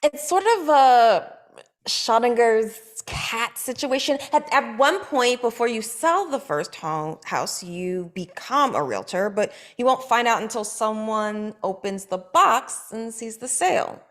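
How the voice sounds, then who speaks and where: A woman reading her lines at a script table read, dry and blunt, with quick comebacks. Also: a little high, lively intonation.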